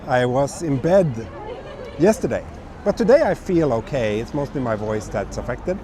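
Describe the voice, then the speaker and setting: Hoarse man livestreaming city streets. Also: medium pitch, moderate pace, crowd noise. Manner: relaxed, chatty, reads out viewer comments. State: hoarse from a cold. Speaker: livestream host. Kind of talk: live walking stream chat. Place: busy city streets outdoors at night. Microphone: small wireless clip-on mic. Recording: outdoor street noise. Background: city traffic and street noise.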